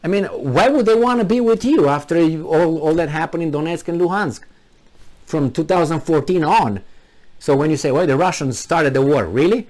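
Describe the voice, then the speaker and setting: A man's voice: a little high, normal speed, a little mellow. A man with a Romanian accent, originally from Transylvania, delivering an argumentative political monologue full of rhetorical questions. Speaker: commentary channel host.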